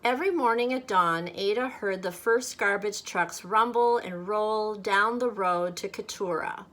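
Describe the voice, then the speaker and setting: Slow-paced woman reading for children. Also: mid-range voice, fairly bright tone, a little hiss or hum. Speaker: church children's leader reading a storybook. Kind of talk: children's read-aloud and friendly talk to kids.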